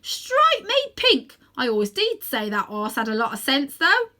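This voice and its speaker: A woman's voice: high voice, very animated, a little slow, sharp tone, pitched above the performer's own voice, heavily acted. Lady doing friendly cabby bloke from a storybook, hearty, plain talking. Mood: surprised. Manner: colloquial, hearty, exclaiming. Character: good-natured, plain-spoken working-class male cabby.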